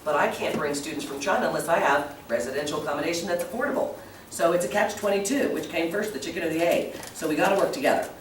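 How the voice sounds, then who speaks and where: Fast-talking man, distant and echoey. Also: fairly high pitch, slight background noise. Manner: brisk and emphatic, thinking aloud. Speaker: panelist on tourism. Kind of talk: panel discussion. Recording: echoey room, distant mic.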